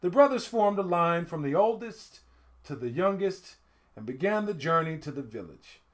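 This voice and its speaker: Middle aged guy doing an animated storybook read-aloud, kinda high voice, really up and down. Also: moderate pace. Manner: expressive, dramatic swells and hushed asides to listeners. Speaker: storyteller reading a picture book. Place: indoor home studio room.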